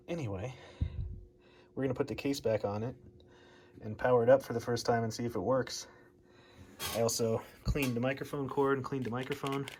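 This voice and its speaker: A man's voice: mid-range voice, a little mellow. An American man speaks in a mid-range voice, informal and unscripted. He thinks aloud as he presents hands-on hobby work. There is noticeable noise in the home recording. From United States, Midwest. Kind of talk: casual hands-on repair vlog narration.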